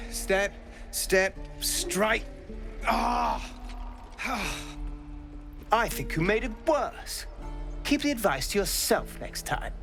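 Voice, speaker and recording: An actor playing a frustrated young refugee practicing swordplay, exasperated and snappish. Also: male voice, sits high, creaky, bright, forceful tone, heavily acted. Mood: angry. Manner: exasperated, snappish, self-critical. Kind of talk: video game dialogue.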